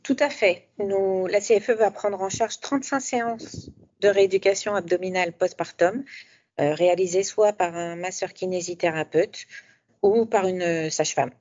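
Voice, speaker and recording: A woman speaks as an expert, explaining insurance details with plenty of hesitations. Her voice is mellow and warm. The recording sounds dull and lo-fi. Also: mid-range voice, steady tone, normal speed. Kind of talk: informational interview answers.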